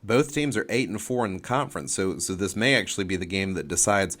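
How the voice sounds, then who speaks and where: A man co-hosting a sports podcast, giving opinions and game picks in casual talk, halting and repeating phrases as he reasons. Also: deep voice, a bit bright.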